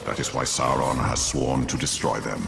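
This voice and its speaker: An actor playing a grave, portentous wraith who tells ancient lore in a video game. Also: male voice, very deep voice, fairly even intonation, vocal fry, heavily acted. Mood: solemn, ominous. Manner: slow, portentous. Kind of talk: video game character acting.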